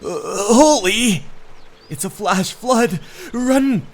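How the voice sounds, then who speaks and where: Jumpy young male hiker, played by a man narrating, stammering, exclamatory, very animated intonation, horror manga dub. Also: high voice, drawn-out words, voice pushed up, exaggerated voice. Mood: panicked. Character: alarmed young male hiker, bewildered and excitable. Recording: music under the voice.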